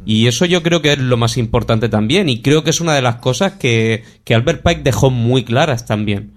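A man co-hosts a talk show discussion. He talks in a hesitant way, with fillers and small restarts, at a medium pitch. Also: moderate pace.

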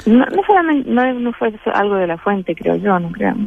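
A woman with a slight Chilean accent talks haltingly, pausing on fillers and restarting her sentences. Her voice comes through a tinny, phone-like line, and soft music plays behind her. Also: medium pitch, big pitch swings, creaky. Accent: Chilean-tinged Spanish. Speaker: interview guest. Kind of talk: hesitant conversational interview.

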